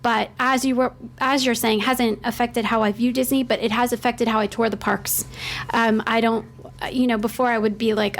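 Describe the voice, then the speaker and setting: A woman chatting casually and enthusiastically as a panelist on a podcast. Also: a little high. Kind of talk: casual chat.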